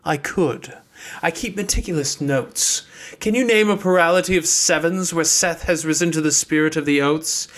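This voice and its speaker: Stern male mage for audiobook man acting, cold, measured, formal, unyielding. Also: a little high, fairly bright tone, voice pushed up, close to an everyday voice.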